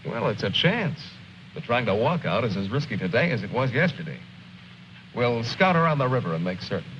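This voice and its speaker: An actor playing a composed army lieutenant in an old war film, articulate, measured and wry. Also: male voice, mid-range voice, big pitch swings, fairly bright tone. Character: composed, civil army lieutenant, wryly keeping his men in order. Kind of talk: 1950s war film acting. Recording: noisy, dull old film soundtrack.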